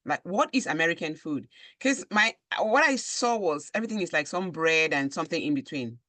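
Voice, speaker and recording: Female host, animated interviewer, dry recording. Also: low-pitched, fairly quick, dry recording. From Cameroon. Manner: animated questioning, frequent backchannels. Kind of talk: interview conversation.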